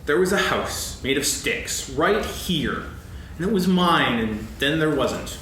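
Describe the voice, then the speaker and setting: In a very echoey hall with faint noise, a man acts a gloomy old donkey from a children's story play. He is mournful, deadpan and full of self-pity, yet his pitch swings widely. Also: slow pace. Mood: glum, sardonic. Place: on stage in a hall.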